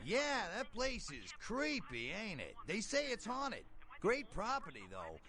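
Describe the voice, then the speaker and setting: A man's voice: high-pitched, a little slow, full character voice. An actor playing a seedy, talkative man in a video game, trading local gossip in folksy slang. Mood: gossipy, musing. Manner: folksy slangy talk, lively swoops. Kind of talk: video game character dialogue.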